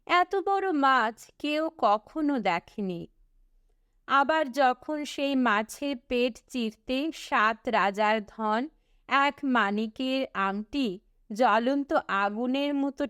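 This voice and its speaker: A woman narrating a storybook as an audiobook reader, in a measured, expressive storytelling manner with deliberate breaks between phrases. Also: a little high, clear, resonant tone, dry recording, clean studio sound.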